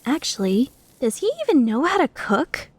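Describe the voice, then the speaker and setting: A woman's voice: high-pitched, big pitch swings, normal speed, breathy edge, full character voice. A woman playing a flustered, skeptical young woman who narrates her own story introspectively in a fan-dubbed drama. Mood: embarrassed, wondering. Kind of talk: fan dub drama with first-person narration.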